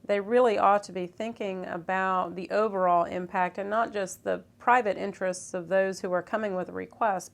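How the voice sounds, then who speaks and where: A woman speaking as a local official, explaining in measured, earnest phrasing in an interview. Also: fairly low pitch. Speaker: city commissioner, interviewee.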